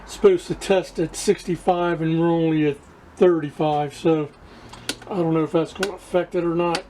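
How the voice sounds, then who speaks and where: A man giving a casual hands-on demonstration to viewers as a hobbyist, haltingly searching for words and repeating himself. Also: a little high, fairly even intonation, mellow, a little hiss or hum, low-quality recording. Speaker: radio restoration hobbyist presenting to viewers.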